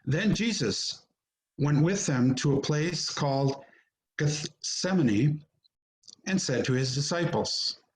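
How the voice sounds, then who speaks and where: Higher-pitched male scripture narrator. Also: expressive, slow, poor audio. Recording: home video-call recording.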